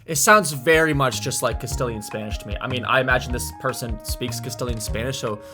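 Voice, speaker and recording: A man's voice: mid-range voice, brisk, bright, forceful tone, faint music behind. Musing man reacting to a video. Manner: thinking aloud, restarts and hedges. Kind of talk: casual reaction commentary.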